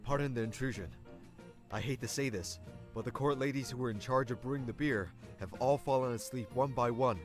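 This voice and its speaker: A man's voice: mid-range voice, brisk, soft background music, lightly acted. A man voicing an anxious, deferential court servant in a comic dub, flustered and melodramatic. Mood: worried, formal.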